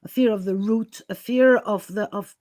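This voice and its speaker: A woman's voice: mid-range voice, normal speed, a little mellow, no room sound, clean studio sound. A woman podcast host talking candidly and casually, emphatic and given to chuckling as she goes.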